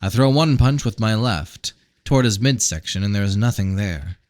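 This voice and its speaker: A man narrates a fantasy novel in a dramatic, measured way. His voice sits on the low side, and the sound is dry and close, with very little room in it. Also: lively intonation, a little mellow, no echo. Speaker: audiobook reader.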